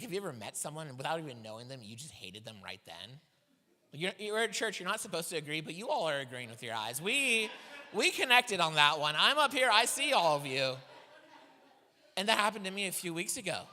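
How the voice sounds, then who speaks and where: A young man doing a stand-up set in a church hall, with a high voice for his size and a self-deprecating, animated way of telling stories. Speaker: stand-up comedian. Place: church hall with live audience. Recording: long hall reverb from a church stage.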